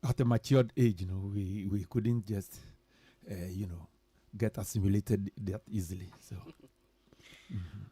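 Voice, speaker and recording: A man on a radio panel, telling anecdotes in a chatty, halting way. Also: lowish voice, steady tone, dark tone, slightly rough, dry recording. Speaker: panel member. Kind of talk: studio panel chat. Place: radio studio.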